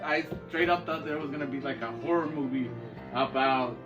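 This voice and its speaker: A man's voice: mid-range voice, fairly quick, dull audio. A man co-hosting a podcast in casual, slangy chat about movies and games, swearing and saying dude often. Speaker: podcast co-host. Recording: distant mic with music playing behind.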